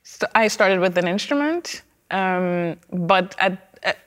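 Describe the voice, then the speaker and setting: A woman with a Trinidadian accent talks in a relaxed interview, her voice sitting a little low. She speaks thoughtfully and often pauses on fillers and small restarts. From Trinidad and Tobago. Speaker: opera singer, guest. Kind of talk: conversational interview.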